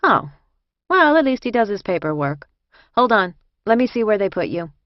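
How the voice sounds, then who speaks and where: A woman voicing a brisk, no-nonsense woman inmate showing a newcomer around, in audiobook dialogue. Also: mid-range voice, very animated, no room sound, muffled recording, pitched above the performer's own voice, close to an everyday voice. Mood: businesslike.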